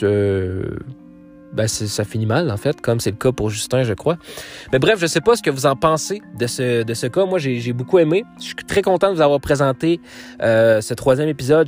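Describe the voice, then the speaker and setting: A man's voice: mid-range voice, a little mellow. Quebec French man rambling on his podcast. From Quebec, Canada. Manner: rambling, many fillers and restarts. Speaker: podcast host. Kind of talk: casual solo podcast outro.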